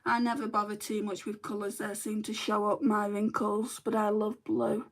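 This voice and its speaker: Gravelly northern English woman chatting. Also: mid-range voice, level tone, moderate pace. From northern England. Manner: chatty, self-deprecating, mutters to herself while working. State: in back pain. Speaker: makeup livestream host. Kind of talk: casual makeup livestream chat, reading comments.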